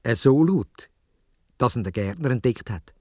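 A man with a Swiss German accent telling a children's story in dialect, expressive and playful. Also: mid-range voice, measured pace, dry recording, studio quality.

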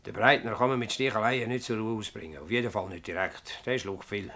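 A man's voice: on the low side, sharp tone. A man acting an agitated, evasive village mayor in a radio play, hedging and emphatic, with a Swiss German accent. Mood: agitated, defensive. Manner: hedging, emphatic, halting when pressed. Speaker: actor in a dialect radio play. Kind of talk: dialect radio drama acting.

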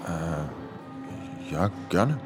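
A man's voice: deep voice, animated, drawn-out words, music in the background, exaggerated voice. A man playing a curious, awkward young circus newcomer in a radio play, casual and hesitant, with a Swiss German accent. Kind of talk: radio play narration and dialogue.

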